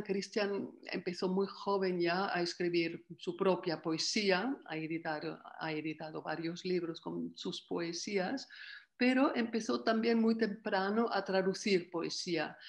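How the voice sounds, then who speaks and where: An older woman, French by first language, speaks as a literary translator over a laptop webcam mic from a room at home. Her delivery is calm and reflective, laying out her process one step at a time. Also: on the low side. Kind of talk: video call interview. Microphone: laptop webcam mic on video call.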